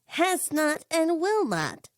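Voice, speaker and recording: A woman voicing a tall, imperious male circus ringleader in an audio story, with a squeaky helium-like voice and a theatrical, smug manner. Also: huge pitch swings, no echo, clean studio sound, heavily acted. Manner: slow, drawn-out, theatrical, smug. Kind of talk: fan fiction audio story character voice.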